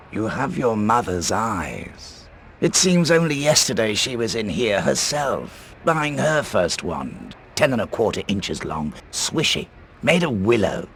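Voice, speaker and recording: An actor playing an eccentric, courteous old wandmaker in a video game, speaking in a deliberate, theatrical, musing way. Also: male voice, lowish voice, a bit bright, a little hiss or hum, heavily acted. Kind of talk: scripted video game character acting.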